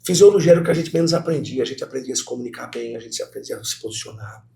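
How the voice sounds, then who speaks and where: A middle-aged man with a Brazilian Portuguese accent, a guest professor telling stories in a lively conversational interview and speaking straight to the students. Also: mid-range voice, very fast, vocal fry. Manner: animated, talks to students directly.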